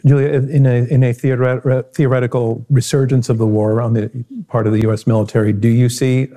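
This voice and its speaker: A man's voice: medium pitch, flat delivery, fairly quick, dark tone. A man moderating a panel, genial and self-deprecating, putting short questions to the guests.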